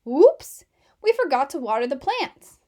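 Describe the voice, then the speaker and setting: A woman's voice: sits high, very animated, a little slow, mellow. A woman voicing eager, oblivious young schoolchildren in a children's storybook, with a guileless manner. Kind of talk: children's storybook read-aloud.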